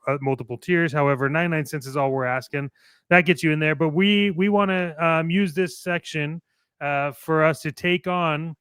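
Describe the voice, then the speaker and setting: A man's voice: a little high, fairly bright tone, clean tone, no room sound. A man co-hosting a toy collecting show, playful and enthusiastic, moving between ad reads and casual banter.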